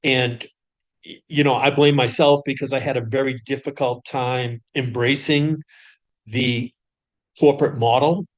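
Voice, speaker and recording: A middle-aged man with an average-pitched voice speaks as a podcast guest. He talks reflectively and carefully, pausing and restarting his sentences as he works through his thoughts. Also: steady tone. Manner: thoughtful, hesitant, with frequent restarts and pauses. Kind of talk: reflective conversational storytelling.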